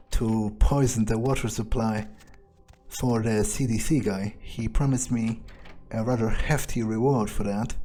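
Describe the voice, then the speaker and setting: A man improvising in-character video game commentary, thinking aloud in a deadpan, callous way with pauses. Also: fairly low pitch. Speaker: gameplay player staying in character.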